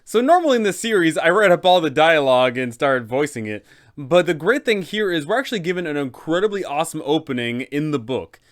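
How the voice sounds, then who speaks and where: Enthusiastic guy explaining tabletop tips, smooth clear voice. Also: fairly high pitch, sing-song, brisk. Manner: enthusiastic, upbeat. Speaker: game master guide host. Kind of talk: casual tutorial narration.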